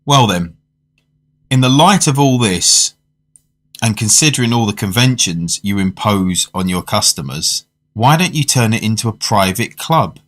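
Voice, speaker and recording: Man as a low-voiced, curious dinner guest. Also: lively intonation, measured pace, a bit bright. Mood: polite, curious. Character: Mister Flynn, curious, polite male dinner guest narrating. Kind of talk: radio drama narration and dialogue. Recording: music under the voice.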